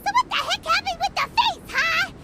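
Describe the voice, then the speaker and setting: A woman voicing a tiny, excitable, blunt fairy girl companion in a video game. The fairy is dramatic and bossy and refers to herself in the third person. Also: extremely high pitch, moderate pace. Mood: indignant. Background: game music.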